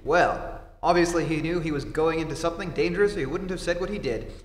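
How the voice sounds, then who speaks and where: A man playing a steady, authoritative reporter hero in old-time radio drama style, brisk and reassuring. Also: on the high side, natural voice. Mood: concerned, questioning. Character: steady, take-charge adult male reporter, secret hero. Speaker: amateur theatre actor. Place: theatre stage, live performance. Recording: echoey hall, faint noise.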